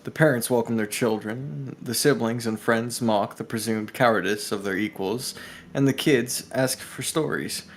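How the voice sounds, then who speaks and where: A man's voice: medium pitch, moderate pace, a little hiss or hum. A man reading visual novel narration and dialogue aloud on a live stream, with casual asides.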